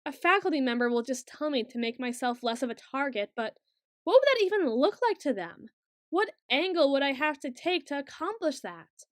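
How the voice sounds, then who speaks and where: Female actor for awkward, protective student girl in ASMR roleplay: higher pitch, formal intellectual phrasing, hesitant, very dry close sound. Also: very animated, normal speed, no echo. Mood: defensive, detached. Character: awkward, bookish young woman student, secretly obsessive, protective.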